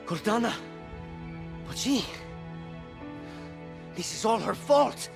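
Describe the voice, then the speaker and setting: Agitated, animated anxious pilot. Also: male voice, high-pitched, slow, creaky, over music, heavily acted. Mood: exasperated. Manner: sarcastic, agitated, pleading. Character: anxious, exasperated everyman male military pilot. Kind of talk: naturalistic video game acting.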